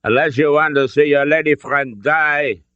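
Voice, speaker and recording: A man's voice: a little high, moderate pace, a bit bright, clean tone, no echo, highs cut off, voice pushed up, close to an everyday voice. Man acting a brutish, barking colonel. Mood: menacing. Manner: barking clipped orders. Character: brutish big Nazi colonel, menacing and commanding.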